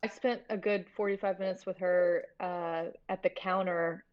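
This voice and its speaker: A woman's voice: fairly low pitch, fairly even intonation, moderate pace, muffled recording. A woman talking casually and informally as a guest in a conversation over a remote call. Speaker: podcast guest, horror producer. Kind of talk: casual chat.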